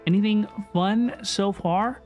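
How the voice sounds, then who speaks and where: A high-pitched male livestreamer chats casually while reacting to a game. He is informal and tends to repeat himself, with faint game music behind him. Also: slow pace, darkish tone. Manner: chatty, informal, repeats phrases. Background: video game music.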